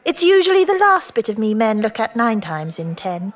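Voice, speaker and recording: Brazen teasing woman, very lively pitch. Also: normal speed. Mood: teasing, provocative. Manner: flirtatious, mocking, clipped. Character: brazen, teasing, sharp-witted younger woman. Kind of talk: audio drama dialogue.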